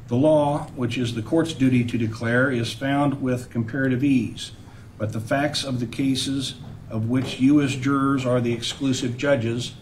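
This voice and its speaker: Man, trial judge, formal deliberate address, medium pitch, faint background noise. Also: a little mellow, distant mic, rough recording. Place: indoor courtroom.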